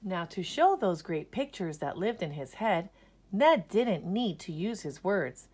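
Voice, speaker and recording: Female children's storyteller: unhurried, expressive rhythmic reading, mid-pitched, highly animated. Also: slightly rough. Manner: rhythmic, dramatic emphasis on key words. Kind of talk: expressive children's read-aloud.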